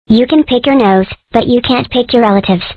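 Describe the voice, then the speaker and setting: A man voicing a small, mocking, high-voiced cartoon kid in a comic strip dub. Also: fairly quick, dry recording. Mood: teasing. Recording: dull lo-fi audio.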